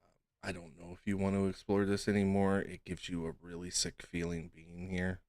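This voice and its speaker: A man's voice: low-pitched, level tone, normal speed, darkish tone, no echo. A man acting as game master, casually narrating a tabletop game and talking with the players.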